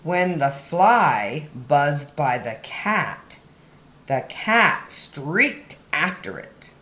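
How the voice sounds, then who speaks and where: A woman with a slightly low voice reads aloud for young children as a story time host. She takes her time and lands key words with dramatic emphasis, her pitch rising and falling widely. The room around her sounds echoey. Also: sing-song, extremely slow, fairly bright tone. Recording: roomy, echoey indoor sound.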